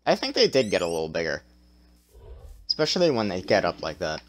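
Young man, game streamer, strained tired voice, lowish pitch, chatty and self-mocking. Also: huge pitch swings, a little fast, fairly bright tone. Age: young adult. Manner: chatty, self-deprecating, with small laughs. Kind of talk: casual gameplay commentary.

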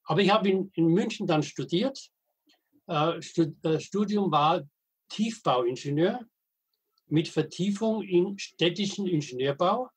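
Husky-voiced man in his eighties. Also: high voice, animated. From Middle Franconia, Germany. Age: 80s. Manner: halting, frequent hesitations and restarts; dry humor, anecdotal. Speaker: guest, veteran wastewater engineer and editor. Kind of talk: podcast interview, storytelling.

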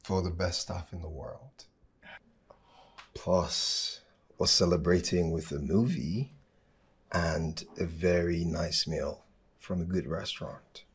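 A man playing a caring boss who speaks softly and reassuringly, hesitating at times. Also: low voice, fairly even intonation, slow, pauses often, breathy edge, exaggerated voice. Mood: warm. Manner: soft-spoken, reassuring, hesitant. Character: caring male boss, gentle and thoughtful. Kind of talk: amateur skit acting.

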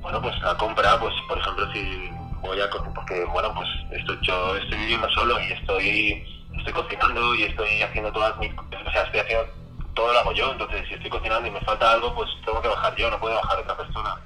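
A man's voice: fairly low pitch, level tone, fast. A young man with an accent from Spain, talking defensively and hesitantly over the telephone. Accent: Spanish from Spain. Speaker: prank call victim. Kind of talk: defensive phone conversation. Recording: phone call with background music.

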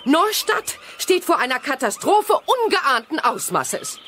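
An actress playing a frantic, over-excitable newspaper reporter in a children's audio drama, theatrical and gushing. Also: female voice, high voice, unhurried, slight background noise, heavily acted. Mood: alarmed, melodramatic.

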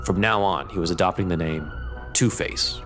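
Very deep-voiced male narrator. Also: moderate pace, a bit bright, a little hiss or hum. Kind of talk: scripted audio drama narration.

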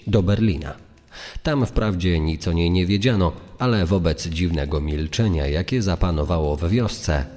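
A deep-voiced man narrates a true-crime tale in a scripted dramatic reading. His words ring with long reverb over a music bed. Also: a little mellow.

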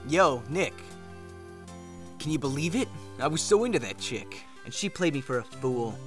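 A man's voice: a little high, animated, normal speed, close to an everyday voice. A man playing a melodramatic, lovesick young slacker, whiny and slangy, in a visual novel fan dub. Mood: dejected. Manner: whiny, overdramatic. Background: game music.

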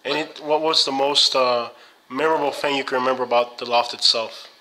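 A young man interviewing in a home, friendly and quick to laugh, interpreting for a guest. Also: mid-range voice, steady tone, pushed and bright, rough recording. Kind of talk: casual interview.

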